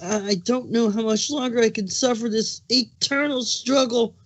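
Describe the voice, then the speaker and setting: A man's voice: very high-pitched, moderate pace, poor audio. A man voicing a moody, snappish pregnant male changeling in a cartoon fan fiction reading. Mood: indignant, defensive. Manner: snappish, touchy, sarcastic. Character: irritable, moody pregnant male changeling creature with big appetite.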